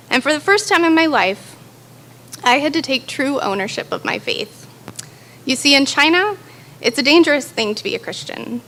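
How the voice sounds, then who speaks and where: Young woman, staff testimony speaker, earnest and emphatic, higher voice. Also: fairly bright tone, slight background noise. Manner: earnest, builds to emphatic declarations. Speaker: college staff member giving testimony. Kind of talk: prepared personal testimony talk.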